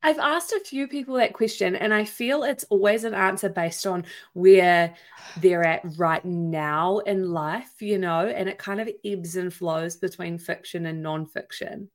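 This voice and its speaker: A woman's voice: lowish voice, unhurried. A woman working as a podcast host, speaking in an effusive, encouraging way as she introduces and interviews.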